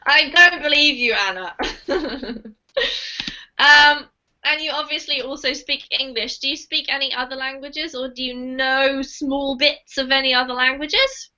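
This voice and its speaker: A woman with a high, bright voice leads a live online English lesson in a friendly, chatty way. She speaks over a video call, and the sound comes through dull and lo-fi. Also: a little slow. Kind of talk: live online group class. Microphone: computer mic on a video call.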